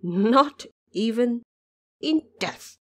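A woman's voice: a little high, lively intonation, very slow, full character voice. A poor, humble old man, as a woman narrator puts him on in an audio drama. He speaks with a fearful, pleading humility. Underneath he is stubborn and will not give way. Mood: refusing.

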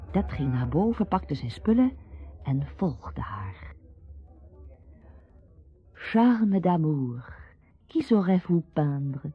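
Narrator: man, unhurried literary storytelling, very varied intonation. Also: fairly high pitch, slightly rough. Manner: measured, storytelling. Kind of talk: literary radio drama narration. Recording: muffled radio sound, faint music.

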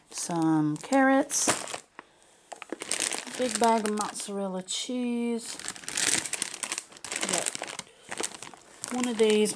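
Chatty female home vlogger, lowish voice, plainspoken casual narration, faint crinkly noise behind. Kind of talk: casual grocery haul narration. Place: indoors at a kitchen or dining table. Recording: noisy home recording, crinkling packages. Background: crinkling packaging, clicks, background noise.

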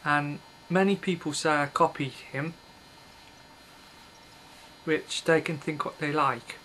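A man's voice: a little high, slow pace, sharp tone, a little hiss or hum, poor audio. A man in his thirties rambling to a webcam in a small bedroom, blunt and offhand, often breaking off mid-thought. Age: 30s. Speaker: video blogger. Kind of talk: casual rambling monologue to camera. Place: small bedroom with red curtains, indoors. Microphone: built-in webcam mic.

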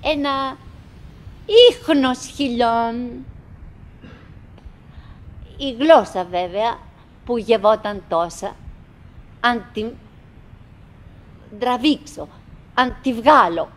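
An actress playing a wistful middle-aged woman who chatters bravely to her husband in halting, theatrical radio drama monologue. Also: fairly high pitch, lively intonation, natural voice. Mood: reflective, halting. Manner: halting, fragmented, dramatic, swinging between murmurs and calls.

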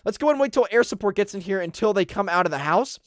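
A young American man doing casual, excitable roleplay gameplay commentary, chatting straight to his viewers at a close mic. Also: sits high, fast, dry recording. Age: young adult. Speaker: gaming video host. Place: indoor room gaming setup with webcam.

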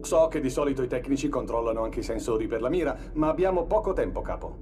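Game role: harried, deferential cryo technician, played by a man, clipped delivery, animated pitch, noisy background. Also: fast talker, close to an everyday voice. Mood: brisk, courteous. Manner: clipped, polite, procedural. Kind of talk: video game voice acting.

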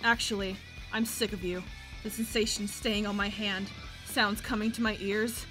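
A woman playing a cold, vengeful young avenger in a dramatic manga dub, speaking with deliberate, menacing intensity. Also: medium pitch, little pitch movement, music in the background, lightly acted, poor audio. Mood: cold menace.

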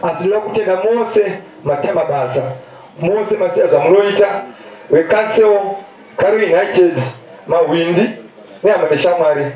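Mellow Zimbabwean man on echoey PA. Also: high voice, moderate pace. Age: young adult. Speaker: mourner giving thanks and tribute. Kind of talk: funeral address. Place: open-sided stadium stand outdoors. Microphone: handheld microphone over PA. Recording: echoey, distant outdoor PA.

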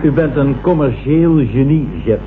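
An actor playing an obsequious, admiring office underling in a vintage radio comedy. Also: male voice, medium pitch, unhurried, lightly acted. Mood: fawning awe. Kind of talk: 1960s Dutch radio comedy acting. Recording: noisy, muffled vintage radio recording.

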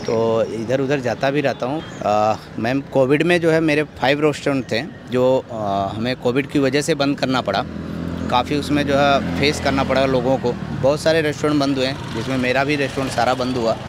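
A man, answering interview questions politely and haltingly, with English words mixed in. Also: mid-range voice, fairly even intonation. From Gorakhpur, Uttar Pradesh, India. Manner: polite, halting, many filler phrases, mixes in English. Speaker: food cart owner being interviewed. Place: outdoors at a roadside. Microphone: interviewer's handheld microphone. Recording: noisy outdoor street with traffic.

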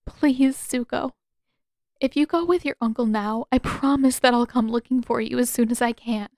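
A determined teenage girl, played by a woman narrator, pleads in a high, urgent, tearful voice. The storybook drama is earnest, and the recording is dry and close. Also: normal speed, darkish tone, no room sound, close to an everyday voice. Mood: pleading.

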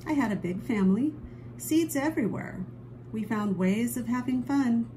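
A woman reading a children's picture book aloud expressively, with dramatic drawn-out emphasis on key phrases. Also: on the high side, animated, warm tone, slight background noise, low-quality recording. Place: indoors at a table, book held before camera.

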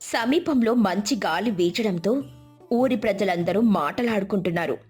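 A woman's voice: medium pitch, expressive, measured pace, darkish tone, slightly rough, soft background music. A woman narrator reading a scripted village moral story.

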